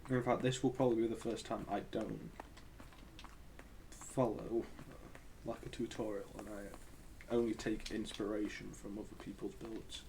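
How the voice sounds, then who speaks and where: A man's voice: lowish voice, animated, unhurried, vocal fry, mellow, a little hiss or hum, rough recording. A man losing his voice and coughing, giving casual, rambling gameplay commentary as a gaming channel host. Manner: rambling, hesitant, thinks aloud.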